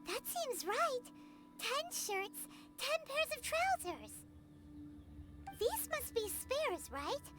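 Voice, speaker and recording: A woman voicing a small, curious, chirpy childlike companion creature for a video game, inquisitive and thinking aloud in a squeaky voice. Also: sing-song, takes it slowly, full character voice. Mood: puzzled. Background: game music.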